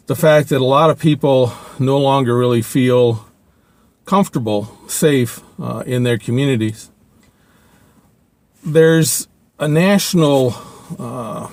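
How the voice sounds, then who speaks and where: An older man talking his thoughts through to camera in a casual monologue, pausing often with fillers and restarts. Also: mid-range voice. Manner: halting, many fillers and restarts. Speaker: video blogger.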